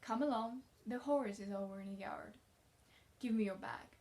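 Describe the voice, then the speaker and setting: A woman's voice: a little high, moderate pace, darkish tone, close to an everyday voice. A woman voicing a shy, kindly older male farmer from a storybook, hesitant and plain-spoken. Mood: shy, gentle.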